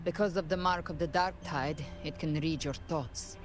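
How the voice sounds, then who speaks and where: Low-voiced, solemn woman acted in a fantasy game. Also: close to an everyday voice. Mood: grave, guarded. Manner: grave, measured, dramatic delivery. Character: solemn, poised woman guiding a hero against dark forces. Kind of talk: scripted video game voice acting. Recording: game dialogue over clear background music. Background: game music.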